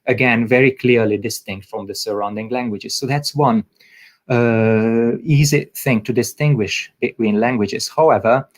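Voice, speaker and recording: A man whose first language is Hungarian gives an academic lecture in English, pausing often with filler sounds. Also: medium pitch. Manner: hesitant, many filler sounds.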